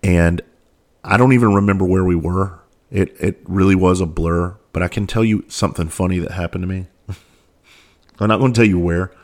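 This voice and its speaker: A man, hoarse and losing his voice, hosting his own podcast in a candid, earnest monologue full of restarts and soft asides. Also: deep voice, steady tone. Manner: earnest, halting with frequent restarts and filler, drops into soft asides. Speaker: podcast host and mental health speaker.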